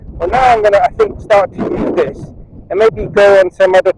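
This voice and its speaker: A man's voice: sits high, fairly even intonation, normal speed, a little mellow, low-quality recording. Chatty man vlogging on a windy beach. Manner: chatty, wandering asides, talks to viewers. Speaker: travel vlogger. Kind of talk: rambling walking vlog chat. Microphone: chest-strapped action camera mic. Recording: wind noise on a body camera mic.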